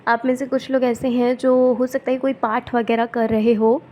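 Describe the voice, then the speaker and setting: A woman tarot reader with a sore throat, speaking softly and gently, often checking in with her viewers. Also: level tone, normal speed. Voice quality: soft and low-volume.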